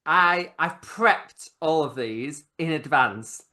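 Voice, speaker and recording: A man's voice: a little high, animated, slow, pushed and bright. A man hosting a casual video-call cooking chat, joking about himself and laughing a lot. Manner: chatty, laughs often, self-deprecating. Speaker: host.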